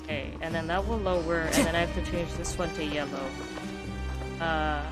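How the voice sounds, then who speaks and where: A woman's voice: sits low, moderate pace. A woman streamer giving casual gameplay commentary, thinking aloud as she plays. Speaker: gaming streamer. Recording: loud game music behind.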